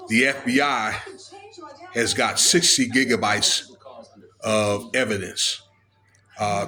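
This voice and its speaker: An American man giving informal, unscripted commentary on legal news, halting as he goes and often checking in with the listener. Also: mid-range voice, fairly bright tone. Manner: halting, with frequent checking tags. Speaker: video commentator.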